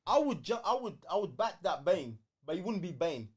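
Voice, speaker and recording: A man's voice: high-pitched, big pitch swings, moderate pace, breathy edge. A man with a London British accent chatting casually as co-host of a fan livestream, slangy, opinionated and emphatic. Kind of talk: casual fan debate chat.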